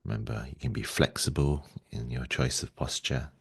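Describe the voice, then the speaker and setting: A man leading a guided meditation in a gentle, soothing way, speaking slowly and softly with long pauses. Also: extremely low pitch, steady tone, creaky, husky, no room sound.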